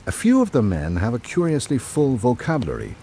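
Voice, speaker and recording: An actor playing a reflective literary traveller, narrating a radio drama in a measured, formal way. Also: male voice, mid-range voice, wildly swooping pitch, warm tone, a little hiss or hum. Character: reflective literary traveller recalling island life. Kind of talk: scripted first-person narration.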